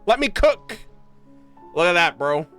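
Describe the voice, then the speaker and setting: A woman's voice: lowish voice, sing-song, unhurried, a bit bright. A young woman giving casual, exclamatory and slangy live commentary as a game streamer on a close mic. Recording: game music underneath.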